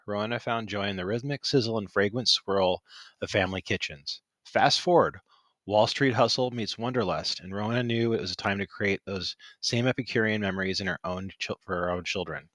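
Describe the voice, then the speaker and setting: A man in his sixties speaks as a podcast host. His voice sits fairly low, and he talks as someone presenting and interviewing for listeners. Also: fairly bright tone. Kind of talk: podcast talk, introducing and interviewing an author.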